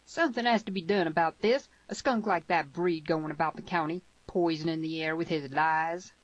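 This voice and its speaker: A woman voicing a loud, defensive, hot-tempered young man in a western audiobook, outraged and emphatic, on a dull recording. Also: low-pitched, moderate pace, dry recording, lightly acted. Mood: defensive anger. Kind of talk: western audiobook character reading.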